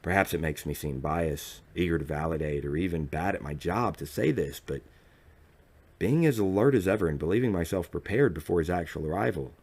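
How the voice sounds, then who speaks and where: A man with a low-pitched voice narrates literary fiction in the first person. His delivery is formal and measured, placing phrases deliberately and pausing for dramatic weight. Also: animated, darkish tone. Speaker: audiobook narrator.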